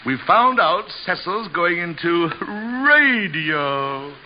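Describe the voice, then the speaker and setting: Pompous, swooping old stage actor. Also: male voice, on the high side, expressive, takes it slowly. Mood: scornful. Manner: grandiose, theatrical, declamatory. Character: pompous, vain, hot-tempered old stage actor husband. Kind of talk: old-time radio situation comedy acting. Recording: muffled, hissy old-time radio recording.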